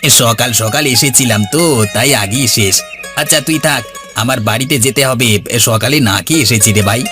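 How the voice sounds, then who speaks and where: A man's voice: mid-range voice, brisk, close to an everyday voice. A man voicing a hardworking, friendly village farmer who speaks colloquially in a cartoon. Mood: hurried. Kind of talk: dubbed cartoon dialogue. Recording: music under the voice.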